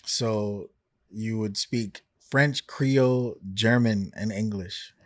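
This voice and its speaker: A man's voice: lowish voice, takes it slowly, dry recording, clean studio sound. Laid-back man hosting an interview. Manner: laid-back, lots of "mm" and "okay". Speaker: podcast host. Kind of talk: casual interview chat.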